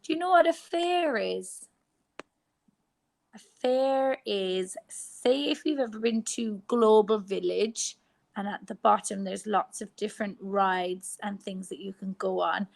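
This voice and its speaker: An Irish woman teacher giving a phonics lesson to young children, sounding out letters slowly and clearly. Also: mid-range voice. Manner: slow, exaggerated sounding out of letters.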